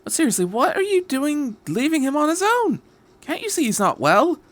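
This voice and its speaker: A man voicing a brash, excitable, incredulous time-travelling doctor in a story reading, animated and full of questions. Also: very high-pitched, normal speed, voice pushed up, full character voice. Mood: concerned.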